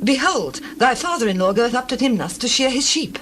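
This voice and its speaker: An actress playing a bold biblical woman in a theatrical, deliberate dramatized scripture reading. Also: fairly high pitch, lively intonation, a bit bright. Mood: urgent. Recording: noisy older audio drama recording.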